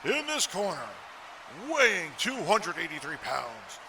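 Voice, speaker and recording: A man's voice: fairly high pitch, wildly swooping pitch, a little slow, exaggerated voice. A man playing a showy wrestling ring announcer, grandiose, drawing out his phrases in a noisy cartoon arena. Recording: noisy, with background ambience.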